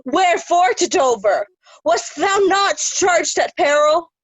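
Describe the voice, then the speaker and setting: A woman's voice: very high-pitched, animated, slow pace, no echo, full character voice, rough recording. A woman playing a vicious, haughty noblewoman in a readers' theatre reading of Shakespeare. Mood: sharp, accusing. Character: vicious, haughty noblewoman Regan, cold and scornful.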